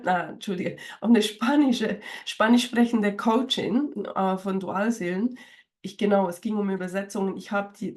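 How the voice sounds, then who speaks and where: A woman with a cold, a little hoarse, talking in a casual, rambling way straight to her viewers as a spiritual coach, with many fillers and restarts. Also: mid-range voice, darkish tone. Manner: speaks directly to viewers. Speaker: spiritual coach and channel host. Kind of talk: casual talk to camera.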